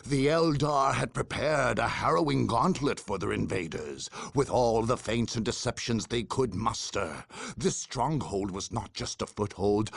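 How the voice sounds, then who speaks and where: Slow, theatrical war chronicler, clear male voice. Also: medium pitch, dry recording, studio quality, full character voice. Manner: grave, theatrical, deliberate. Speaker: narrator. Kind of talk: dramatic scripted game narration.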